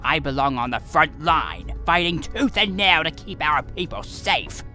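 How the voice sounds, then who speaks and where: A man's voice: a little high, lively intonation, a little slow, full character voice. Man acting a stern, swooping alien general. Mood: bitter. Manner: stern, commanding. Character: battle-hardened alien general, defiant, bitter son of the emperor. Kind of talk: dramatic comic dub acting. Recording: loud music behind.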